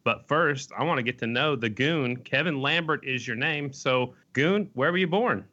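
A man's voice: medium pitch, normal speed, sharp tone, highs cut off. A man hosting a podcast interview, asking questions in a casual, friendly way. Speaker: podcast host.

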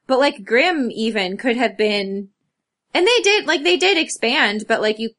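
Woman in casual, halting podcast chat. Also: on the high side, animated, a bit bright. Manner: earnest, halting, filler-heavy with frequent "like". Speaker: podcast co-host. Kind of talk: casual chat about fandom and stories.

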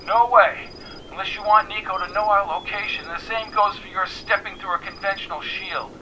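A man voicing an anxious, cautious woman in an audiobook, speaking softly and earnestly. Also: high voice, normal speed, slight background noise, voice pushed up, natural voice, low-quality recording. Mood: warning.

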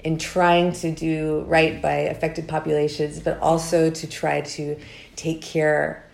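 A woman interviewing a guest on a podcast, asking friendly, measured questions. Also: low-pitched, monotone, gravelly, a little mellow. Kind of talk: conversational interview. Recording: echoey room.

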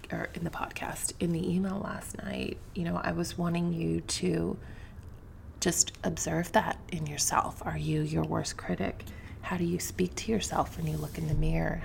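A woman's voice: lowish voice, gravelly, darkish tone, slight background noise. A woman podcast host with a slightly sniffly voice talks candidly and reflectively to her listeners, in an informal, confessional way, close to the microphone. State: sniffly from allergies.

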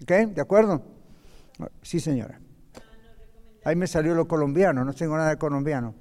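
A man leads a church Bible class, chatting with the room and pausing to ask if everyone follows before he reads the passage aloud. His voice sits fairly high yet sounds mellow, and a long hall reverb trails behind each phrase. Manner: conversational, checks in with "¿ok?". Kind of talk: Bible class teaching and scripture reading.

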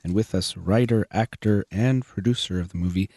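A man hosting a radio interview show as a psychologist, brisk and attentive, giving frequent short backchannels. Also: lowish voice, mellow, no echo.

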